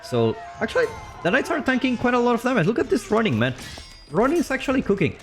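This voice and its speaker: A man streaming live commentary on a strategy game match. He is chatty and loose, and his voice leaps up on big plays before settling back to calm explaining. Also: fast talker, music in the background. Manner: excitable, voice jumps high on big plays, then calm explaining; loose and chatty, with fillers and restarts.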